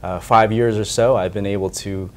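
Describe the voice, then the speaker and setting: A young American man who works as a senate staffer, answering interview questions in an earnest, grateful way, often pausing with fillers. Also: lowish voice, fairly even intonation. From United States. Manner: soft-spoken, earnest, with frequent fillers and restarts. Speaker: senate staffer for community outreach.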